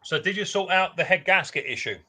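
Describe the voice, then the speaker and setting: A man's voice: high-pitched, fairly quick, sharp tone. A man hosting a casual livestream, chatting and teasing his viewers. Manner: chatty, teasing, banter-heavy. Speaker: livestream host.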